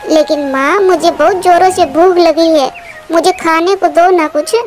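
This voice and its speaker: A woman's voice: very high voice, fairly even intonation, normal speed, slight background noise. A woman voicing an eager, insistent little girl chick in a childlike cartoon manner.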